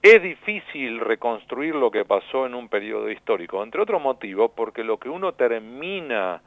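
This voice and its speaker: A journalist and author, a man with a Rioplatense Spanish accent, calls in to a radio show and lays out history step by step in a measured, storytelling way. The sound is dry and narrow, like a telephone line, and his voice sits at a middle pitch. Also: very animated, no echo. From La Plata, Argentina. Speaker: journalist and author, interview guest. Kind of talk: phone-in radio interview. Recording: dry tinny phone line.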